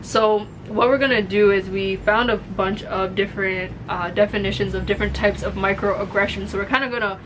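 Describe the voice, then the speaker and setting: A young woman co-hosting a casual chat, telling stories in an animated way and acting out quotes. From Canada. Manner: animated, quick storytelling with acted-out quotes. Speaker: co-host. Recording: noisy recording with low rumble.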